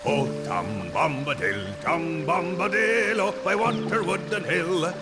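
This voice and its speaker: Merry old forest fellow, swooping delivery. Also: male voice, a little high, animated, takes it slowly, over loud music. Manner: lilting, rhyming, theatrical delivery. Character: merry, sing-song old forest-dwelling man, protective and commanding. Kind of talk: fantasy video game character acting.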